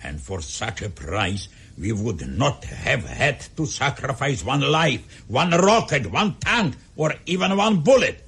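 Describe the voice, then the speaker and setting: Gravelly, husky, imperious Soviet leader. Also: male voice, medium pitch, big pitch swings, measured pace, a bit bright, full character voice. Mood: scornful outrage. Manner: rhetorical, incredulous, commanding. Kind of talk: radio drama acting.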